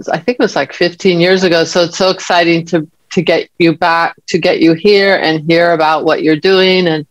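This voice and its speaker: A woman's voice: lowish voice, no echo, muffled recording. A woman hosting an interview podcast, introducing her guest in a chatty way with fillers and hesitations. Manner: warm-up talk with fillers, hesitant. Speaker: podcast host. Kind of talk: conversational interview.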